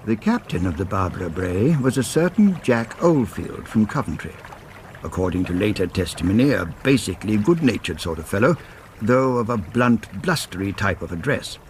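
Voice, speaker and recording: An actor playing a formal historian, narrating a radio drama in a measured, literary manner. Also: male voice, lowish voice, big pitch swings, a little hiss or hum. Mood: measured, serious. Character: formal male historian narrating a Victorian murder.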